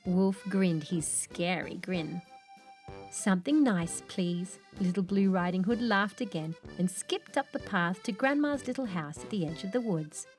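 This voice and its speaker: A woman reading a children's picture book aloud in an expressive read-along style, with faint music behind. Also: fairly low pitch, moderate pace, few pauses. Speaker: children's storybook narrator.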